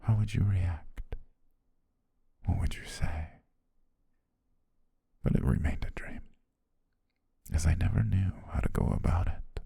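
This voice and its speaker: An obsessive, softly menacing yandere photographer played by a man for an ASMR roleplay. The voice is extremely deep and very breathy, sinking into gravel and half-whispers. He speaks slowly and possessively, with deliberate pauses. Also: no echo, clean studio sound, exaggerated voice. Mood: obsessive, confessing. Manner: slow, hushed, deliberate, with drawn-out pauses. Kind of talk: ASMR roleplay, intimate slow monologue.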